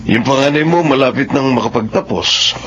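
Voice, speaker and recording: A man's voice: medium pitch, a bit bright, natural voice. An actor playing a good-natured, middle-aged godfather and family friend in a Filipino radio drama, encouraging in manner. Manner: unhurried, encouraging. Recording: muffled radio drama with faint noise.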